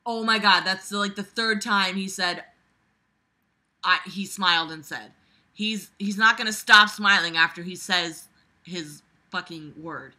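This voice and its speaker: A woman's voice: fairly low pitch, a little slow, sharp tone. A woman reading a novel aloud and breaking in with exasperated, sarcastic, foul-mouthed commentary. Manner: exasperated, sarcastic, swearing freely. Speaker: book reader and commentator.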